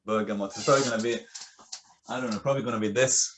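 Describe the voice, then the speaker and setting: A man's voice: lowish voice, steady tone, racing, slight background noise. A man who collects perfume, thinking aloud in a casual chat, hesitant and full of fillers as he guesses scents. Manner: thoughtful, hesitant, many fillers. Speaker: perfume collector answering questions.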